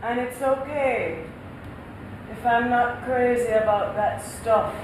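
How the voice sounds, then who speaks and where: An actress on stage playing a tipsy, wistful wife with a drawn-out, theatrical delivery. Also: female voice, on the high side. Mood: tipsy, earnest. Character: tipsy, wistful middle-class Jamaican wife. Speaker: stage actor. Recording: echoey noisy theatre, distant mic.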